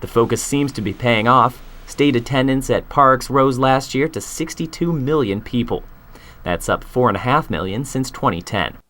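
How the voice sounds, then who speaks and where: A man's voice: mid-range voice, normal speed, fairly bright tone. A man with an American accent reading a scripted news report as a reporter and anchor.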